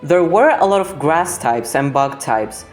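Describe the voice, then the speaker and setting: Young female gaming narrator, native Spanish speaker with Spanish accent, low register, scripted voice-over over background music. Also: lively intonation, a little slow. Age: young adult. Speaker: gaming video host.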